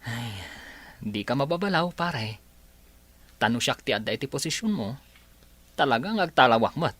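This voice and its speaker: A man's voice: mid-range voice, expressive, normal speed, fairly bright tone, no room sound. A man playing an easygoing friend who consoles the lead in a radio comedy drama. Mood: consoling.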